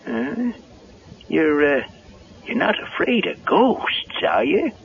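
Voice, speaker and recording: An actor playing a kindly, hesitant island handyman in a 1970s radio drama, with a folksy, halting, excitable manner. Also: a little high, creaky, heavily acted. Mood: hesitant, concerned. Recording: muffled, hissy vintage radio broadcast.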